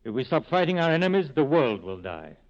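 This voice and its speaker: An actor playing a grave, idealistic resistance leader, speaking formally and eloquently in a 1940s radio drama. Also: male voice, a little high, sing-song, a little fast. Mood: grave, earnest. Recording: dull vintage radio recording.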